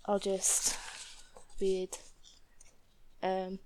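A young woman with a Northern English accent talks at an unhurried, drawn-out pace, full of ums and little self-mocking giggles. Her pitch sits in an ordinary middle range, and she chats casually, stumbling and restarting as she goes. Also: completely flat delivery, darkish tone, slight background noise. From northern England. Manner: giggly, self-deprecating, hesitant with many fillers. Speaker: student vlogger. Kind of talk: casual chat, accent tag video.

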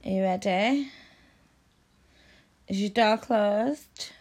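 Soft woman whispering a lot, dark breathy voice, slow and coaxing. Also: medium pitch. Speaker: audio roleplay performer. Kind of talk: intimate audio roleplay.

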